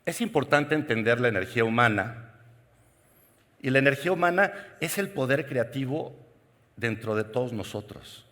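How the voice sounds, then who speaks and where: A man's voice: mid-range voice, animated, normal speed, fairly bright tone. Mexican man speaking in a reverberant hall. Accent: Mexican Spanish. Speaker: keynote speaker. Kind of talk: conference keynote talk. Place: large conference hall.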